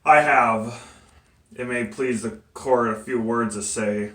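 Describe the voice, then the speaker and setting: A man playing a defiant, devout condemned abolitionist captain giving a halting, emphatic courtroom speech in a theatrical monologue. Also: mid-range voice, steady tone, a bit bright. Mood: solemn, resolute. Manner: halting, emphatic oratory with stumbles. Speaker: poet and playwright performing a monologue. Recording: echoey room, distant microphone.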